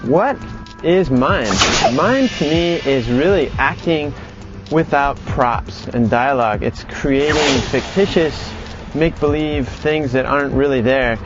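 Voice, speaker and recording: A man's voice: on the high side, unhurried, dull audio, over loud music. A man giving a lesson as an instructor, explaining in a conversational way. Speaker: animation acting instructor.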